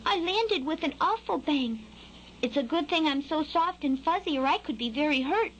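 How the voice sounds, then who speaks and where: Woman as a high-pitched naive little skylark chick. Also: animated, moderate pace, dull audio, a little hiss or hum. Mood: startled. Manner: childlike, matter-of-fact.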